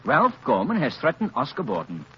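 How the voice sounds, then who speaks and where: An actor playing an urbane, teasing amateur detective with self-assured 1930s radio delivery. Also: male voice, medium pitch, wildly swooping pitch, a little fast, breathy edge, full character voice. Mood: suave, teasing. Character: suave, needling gentleman amateur detective. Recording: muffled, noisy 1930s radio recording.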